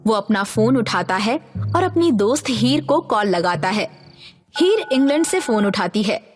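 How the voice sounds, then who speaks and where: A woman giving scripted narration for an animated children's story. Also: a little high, a little slow, faint music behind.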